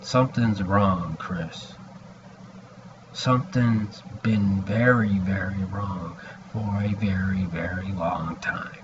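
A man in his forties with a gravelly voice, giving a halting, rambling personal message to a webcam at home. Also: deep voice, steady tone. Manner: halting, hesitant, with long pauses. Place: small room indoors at home. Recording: dull lo-fi webcam audio, background noise.

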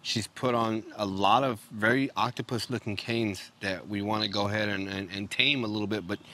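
A man co-hosting a casual tour of a home garden outdoors, chiming in with quick agreeing remarks. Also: lowish voice, steady tone, sharp tone. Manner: quick, affirming interjections. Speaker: co-host of garden video.

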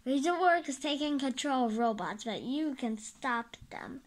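Storybook scientist man, played by a woman: earnest, grave, gentle mentor, measured pace, light high voice. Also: animated. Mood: grave, urging. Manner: solemn, measured.

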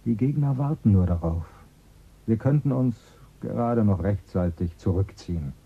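An actor playing a calculating male politician with a husky voice in a radio play, speaking in a measured, persuasive way. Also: on the low side. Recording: phone-like thin sound.

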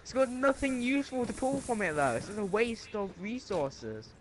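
Lively high-voiced British teen, arguing. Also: male voice, a crowd in the background, low-quality recording. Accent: British English. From Britain. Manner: argumentative, quick to protest. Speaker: gamer friend. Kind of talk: casual game chat. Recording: gunfire from the game clearly behind.

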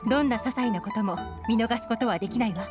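A woman's voice: fairly high pitch, quick pace, close to an everyday voice. Anime game lead: composed, shrewd young woman, woman performer, assured, matter-of-fact, light music underneath. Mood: frank, serious. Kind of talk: anime video game dialogue.

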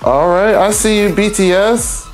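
A man's voice: high-pitched, a little slow. Man, 30s, reaction host, stuffy and congested, easygoing slangy banter, faint music underneath. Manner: relaxed, joking, slangy asides. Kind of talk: casual reaction commentary. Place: small home room, indoors. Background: faint music from the video he watches.

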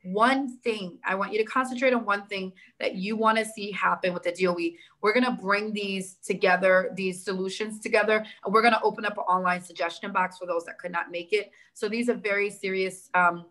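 A woman in her thirties with a New York accent hosting a town hall on a video call, talking in a brisk, organized, encouraging way. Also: medium pitch, steady tone, a bit bright. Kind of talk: moderating a video call meeting. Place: indoor room with big windows. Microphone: laptop webcam on a video call.

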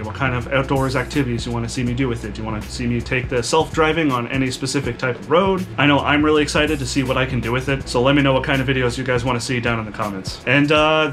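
Young man, casual vlog host, relaxed conversational delivery, home workshop, faint music underneath. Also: medium pitch. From Canada. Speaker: outdoors EV channel host. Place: home workshop room with shelves and 3D printer.

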